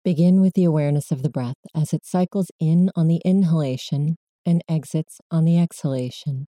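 A woman leading a guided meditation, giving gentle, measured instructions as a meditation teacher. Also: low-pitched, no echo, clean studio sound.

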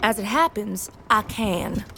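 A woman's voice: medium pitch, expressive, slow, creaky, background noise. A woman playing an officious, coolly menacing elven major in a fantasy audio drama, formal and authoritative. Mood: officious, cold.

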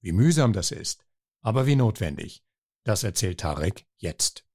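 Older male host from northern Germany, husky and mellow, measured interviewer. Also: sits low, sing-song, no echo, clean studio sound. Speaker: podcast host. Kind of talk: scripted intro then interview.

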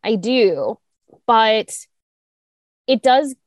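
A woman co-hosting a podcast, talking earnestly and emphatically, with some vocal fry. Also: on the high side, expressive, no room sound. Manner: earnest, emphatic, speeds up and slows down. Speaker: podcast co-host. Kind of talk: candid podcast conversation.

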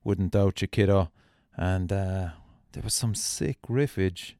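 A man's voice: low-pitched, slow, warm tone, no echo, clean studio sound. A man hosting a music podcast, talking with enthusiastic, effusive praise. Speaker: podcast host. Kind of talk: music podcast talk and interview.

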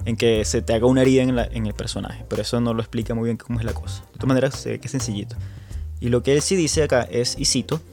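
A man's voice: mid-range voice, fast, creaky, a little mellow, soft background music. Venezuelan man hosting a podcast. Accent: Venezuelan Spanish. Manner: chatty, frequent restarts and repeated words. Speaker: podcast host. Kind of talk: casual podcast talk.

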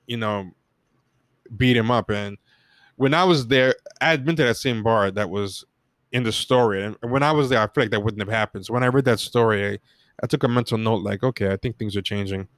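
An American man talking casually and reflectively in an interview, feeling his way through his points in a halting manner. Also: lowish voice, a bit bright, dry recording. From United States. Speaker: podcast guest.

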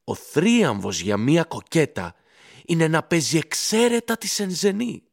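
An actor playing a jovial older tavern drinker in a radio play, exclamatory and emphatic, full of theatrical admiration. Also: male voice, fairly high pitch, very animated, vocal fry, exaggerated voice. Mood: admiring, excited.

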